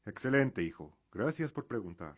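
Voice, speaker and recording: An actor playing a proud, devout middle-aged lord and father in a fantasy radio drama, speaking in a measured, formal, earnest way. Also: medium pitch, big pitch swings, dull audio. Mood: calm, formal.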